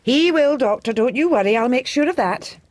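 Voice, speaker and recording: Nagging devoted scottish wife, radio play, highish voice, really animated. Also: female voice, moderate pace. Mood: bossy, fussing. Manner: nagging, talks over others. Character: fussing, bossy, devoted schoolmaster's wife. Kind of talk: period radio drama acting.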